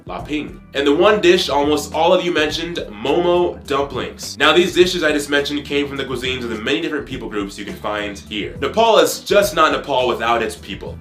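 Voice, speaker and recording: A man's voice: mid-range voice, fairly bright tone. Casual male co-presenter in a roomy echo. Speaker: regular co-presenter. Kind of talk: educational segment narration.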